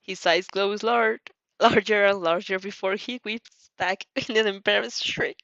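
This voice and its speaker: A woman's voice: mid-range voice, steady tone, a little slow, a bit bright, no echo, muffled recording. A woman narrating a fan fiction story expressively, giggly and often stumbling over her words.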